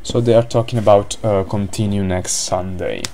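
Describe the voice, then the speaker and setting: A man's voice: lowish voice, steady tone, moderate pace, mellow, a little hiss or hum. A man with an Italian accent commentating a board game live, hesitant, with many fillers and restarts.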